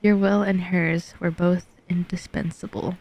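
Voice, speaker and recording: A woman's voice: fairly low pitch, steady tone, measured pace, heavy background noise. A woman streamer playing a game, reacting casually and reading lines aloud. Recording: background music under the voice.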